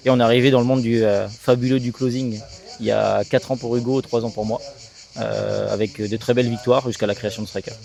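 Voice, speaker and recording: A man's voice: medium pitch, level tone, brisk, gravelly, darkish tone. A man in his thirties from Alsace giving a talkative, casual interview, peppered with business jargon. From Alsace, France. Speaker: sales agency co-founder being interviewed.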